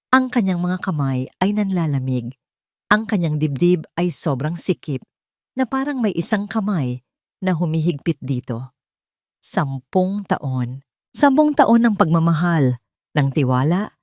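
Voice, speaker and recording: A Filipino woman storyteller with a slightly low voice, narrating an audio drama from a script. The recording is close and dry, and her delivery is dramatic storytelling. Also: darkish tone, no room sound.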